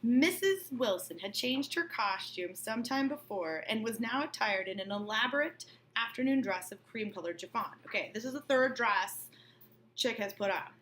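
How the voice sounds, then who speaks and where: A woman's voice: a little high, lively intonation, normal speed, a bit bright, slight background noise. A woman teacher reading a novel aloud and breaking off for informal, colloquial explanations, often checking that listeners follow. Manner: colloquial, checks understanding with okay and right.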